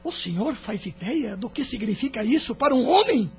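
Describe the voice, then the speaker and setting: A man's voice: very high-pitched, lively intonation, normal speed, slight background noise. A Brazilian man playing a distressed, wounded husband in an audio drama, with a plaintive, dramatic delivery. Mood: anguished. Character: distressed, wounded husband, stressed smoker, in divorce court. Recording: dull lo-fi audio, music underneath.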